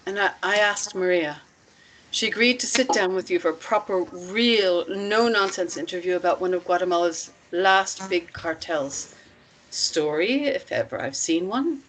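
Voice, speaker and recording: A woman acting a composed, persuasive protector of runaway women in a script read, speaking in a deliberate, measured way. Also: on the low side, a bit bright, a little hiss or hum, low-quality recording. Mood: breezy, coaxing. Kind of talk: script table read, acted dialogue.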